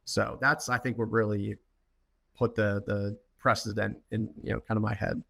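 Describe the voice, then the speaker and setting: A man's voice: on the low side, little pitch movement, normal speed, gravelly, fairly bright tone, slightly rough. A youngish man from the United States, speaking informally as a podcast guest and telling his own story, often restarting and repeating words. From United States, Philadelphia. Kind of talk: personal storytelling in a podcast interview.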